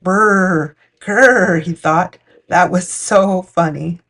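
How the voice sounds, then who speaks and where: A woman's voice: fairly low pitch, drawn-out words, fairly bright tone. Woman reading a children's storybook aloud. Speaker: children's picture book read-aloud host. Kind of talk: storytime read-aloud narration.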